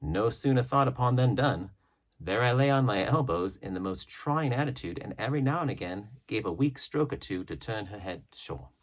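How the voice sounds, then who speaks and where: A man reading an adventure novel aloud as a storybook narrator, with measured, dramatic phrasing. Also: mid-range voice, animated. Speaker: audiobook reader.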